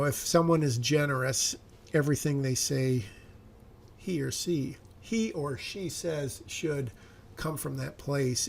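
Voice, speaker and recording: A screenwriter thinking aloud about his draft, hesitant and self-correcting, his voice a little raspy today. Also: medium pitch, slight background noise. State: slightly raspy voice. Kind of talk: thinking aloud while reviewing a script.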